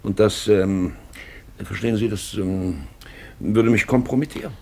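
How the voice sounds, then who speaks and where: A pompous old general in a radio play. His low, gravelly voice hems and pauses as he condescends, over faint noise. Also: darkish tone. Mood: pompous, uneasy. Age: older. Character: stern, self-important, indebted old Russian general. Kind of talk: radio drama acting.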